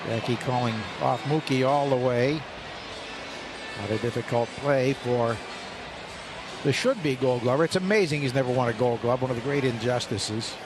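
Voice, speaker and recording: A male radio play-by-play announcer with an American accent calling a baseball game, measured and lifting on big plays. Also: medium pitch. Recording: radio broadcast with crowd noise behind.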